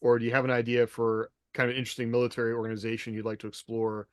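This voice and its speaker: A man's voice: medium pitch, completely flat delivery, very fast, clean tone, dry recording, studio quality. A man in a casual podcast discussion, reflective and thinking aloud as a co-host. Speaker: podcast co-host, military veteran. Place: indoors, video call.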